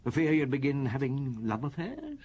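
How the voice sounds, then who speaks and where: An actor playing a shrewd middle-aged police chief in a radio drama, interrogating a suspect in an unhurried, cutting way with a husky voice. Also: male voice, mid-range voice, wildly swooping pitch, darkish tone. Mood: probing.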